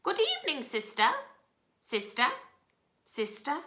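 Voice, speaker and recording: Young female duck for storytime, woman reader: high, sing-song, cunning and persuasive. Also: wildly swooping pitch, takes it slowly, bright, forceful tone, roomy sound, exaggerated voice. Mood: calling out.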